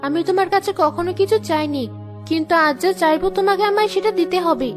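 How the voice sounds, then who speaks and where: Very high-pitched young cartoon woman. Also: quick pace. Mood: resentful. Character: young Bengali sisters, one vain, one dutiful. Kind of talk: cartoon story voice acting. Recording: music behind the voice.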